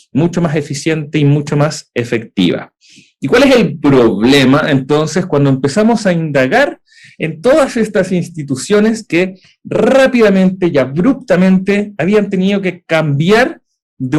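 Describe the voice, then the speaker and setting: Man, Chilean accent, fairly high voice, young adult, webinar presenter, explanatory, rhetorical questions. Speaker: edtech founder presenting.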